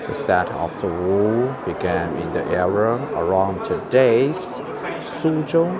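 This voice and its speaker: A man from China with a Chinese accent narrates an informal museum tour vlog in halting, simple non-native English. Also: lowish voice. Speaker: vlogger giving a museum tour. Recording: clear background noise, on-location ambience.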